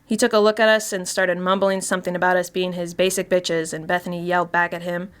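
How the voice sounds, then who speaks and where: Chatty woman confessing dark deeds, acted out. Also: lowish voice, flat delivery, fast, clean tone, natural voice. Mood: confiding, uneasy. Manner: conversational, confiding storytelling. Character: chatty, darkly gleeful woman confessing to killings. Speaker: actor reading a short story. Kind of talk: dramatic first-person mystery story narration.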